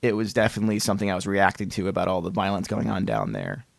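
A man's voice: low voice, very animated, quick pace, creaky, no echo. A man hosting a podcast in casual chat, hesitant and repeating words as he searches for phrasing. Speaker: podcast host.

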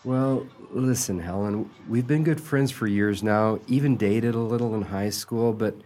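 A man's voice: fairly low pitch, measured pace, mellow, close to an everyday voice. An actor playing a nervous, lovesick, agreeable young man in a radio play, earnest and stammering.

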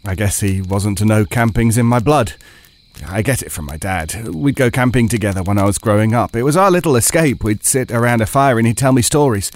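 A proud, chatty camper played by a man tells a first-person campfire tale. His voice is on the low side, in an intimate storytelling style. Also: a little fast, natural voice. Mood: confiding, wry.

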